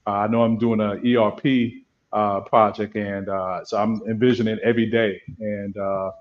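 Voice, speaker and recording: A man from Brooklyn, a guest on a video call, speaking haltingly with many fillers and repeats. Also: fairly low pitch, little pitch movement, muffled recording. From Brooklyn, New York. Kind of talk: casual panel chat.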